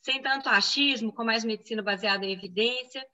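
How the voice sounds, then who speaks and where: A woman's voice: a little high, a little fast, sharp tone, dull audio. A woman in her thirties with a Brazilian Portuguese accent from Minas Gerais, answering interview questions in a chatty way with frequent "né?" tags. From Venezuela, raised in Brazil. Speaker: physician guest.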